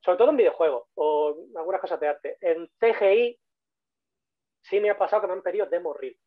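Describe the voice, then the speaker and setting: A man's voice: high-pitched, fairly quick, no echo. A man from Spain with a Spanish accent, giving a casual online talk as a 3D modeler on a video call, chatty and repeating points for emphasis. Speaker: guest speaker, 3D modeler. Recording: tinny phone-like call audio.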